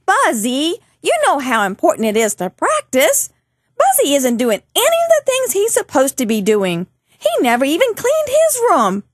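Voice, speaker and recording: Very high, perky girl puppet. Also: wildly swooping pitch, moderate pace, no echo, studio quality. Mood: concerned, scolding. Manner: singsong, addressing children directly. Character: perky, bossy little girl puppet, caring friend. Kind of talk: children's puppet show performance.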